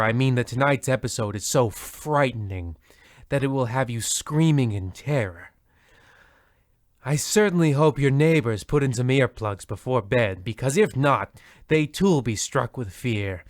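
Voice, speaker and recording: A man playing a pompous, bumbling horror radio show host, narrating in a deliberate, dramatic, mock-ominous way. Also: mid-range voice, no echo, lightly acted.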